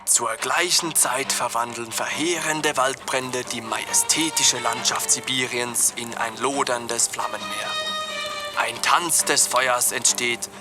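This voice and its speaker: A man voicing a TV nature documentary narrator in a cartoon, in measured narration. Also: mid-range voice, fairly even intonation, music in the background, lightly acted. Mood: solemn.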